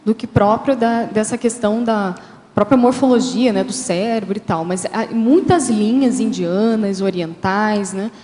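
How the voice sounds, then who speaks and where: A woman's voice: medium pitch, a little slow, warm tone. A woman, a guest author, explaining her answers in an interview, hesitant and with many fillers. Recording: hall reverb.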